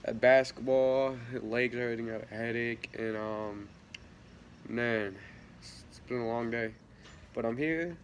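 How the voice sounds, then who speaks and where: A man's voice: medium pitch, fairly even intonation, measured pace, slight background noise. Rambling teenage guy telling a story. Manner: rambling, many fillers and restarts, soft laughs. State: a little sick. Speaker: vlogger. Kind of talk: casual storytelling vlog.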